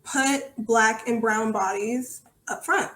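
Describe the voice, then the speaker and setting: A woman's voice: a little high, takes it slowly, a bit bright. Echoey-sounding woman, earnest podcast guest. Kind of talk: conversational interview answers.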